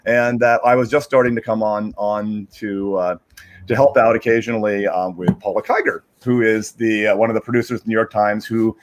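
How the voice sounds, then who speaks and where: A man chatting casually on a livestream, explaining in a halting, rambling way and repeating words as he searches for phrasing. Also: on the low side, level tone. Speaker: digital media strategist, guest reader.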